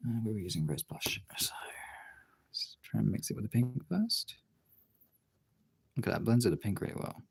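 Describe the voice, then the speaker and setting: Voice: medium pitch, sing-song, moderate pace, gravelly, pauses often, darkish tone, breathy edge. A casual streamer chatting and muttering to themselves while working on art, with some swearing. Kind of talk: casual chat while colouring artwork.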